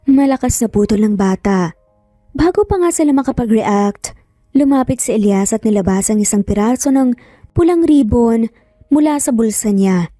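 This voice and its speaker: A woman's voice: a little high, lively intonation, normal speed, mellow, no room sound, clean studio sound, soft background music. A woman narrating a dramatized romance story as a storyteller.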